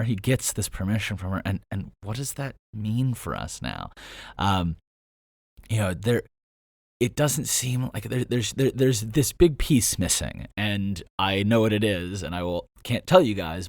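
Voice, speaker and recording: A man hosting his own audio drama podcast, talking through an episode in a loose, rambling way with lots of fillers, his voice slightly hoarse. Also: fairly low pitch, dry recording, studio quality. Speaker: podcast host and show creator. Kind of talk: casual behind-the-scenes commentary.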